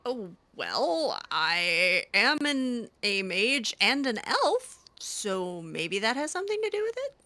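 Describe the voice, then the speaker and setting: A woman playing a friendly, flattering elf mage in improvised game roleplay, with an informal, hesitant, coaxing manner. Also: on the high side, slow, few pauses, no echo, heavily acted. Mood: agreeable.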